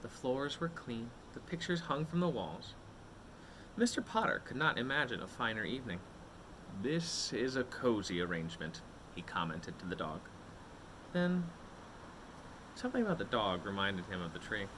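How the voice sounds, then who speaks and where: Animated man reading a storybook aloud. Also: medium pitch, background noise. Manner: dramatic, with drawn-out words and suspenseful pauses. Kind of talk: expressive picture-book read-aloud.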